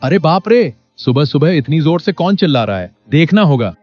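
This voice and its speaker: A man's voice: a little high, big pitch swings, moderate pace. Humble, earnest farmer played by a man, slightly muffled. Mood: startled. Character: naive, honest poor farmer, humble newcomer. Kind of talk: animated moral story character acting. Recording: dull lo-fi sound under music.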